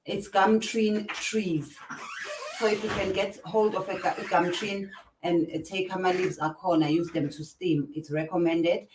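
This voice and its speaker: A woman with a South African accent hosting an interview, speaking in a halting way with many fillers. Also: lowish voice, steady tone, noisy background. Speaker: host. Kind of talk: casual interview chat. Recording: distant, echoey room sound.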